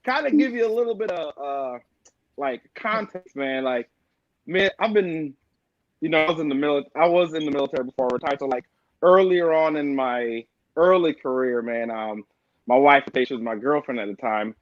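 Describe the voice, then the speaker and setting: A middle-aged man from Jamaica, a guest on a casual podcast, telling stories in a relaxed way and often saying "man" and "like". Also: on the high side, normal speed, no echo, muffled recording. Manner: relaxed storytelling with frequent "man" and "like".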